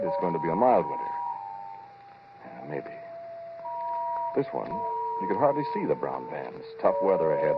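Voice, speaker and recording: An actor playing an intense scientist who narrates a 1940s radio drama, talking directly and conversationally to the listener. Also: male voice, very deep voice. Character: intense male scientist recounting a frightening experiment. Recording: muffled, noisy 1940s radio recording.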